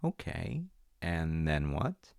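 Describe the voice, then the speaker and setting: A man voicing a caring, patient father in an audio roleplay. He talks softly and reassuringly, sometimes dropping to a whisper. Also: a bass voice, big pitch swings, gravelly, no echo, studio quality, full character voice. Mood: gentle. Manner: soft, reassuring, unhurried, drops to whispers at times. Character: caring middle-aged dad, gentle and patient. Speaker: voice actor.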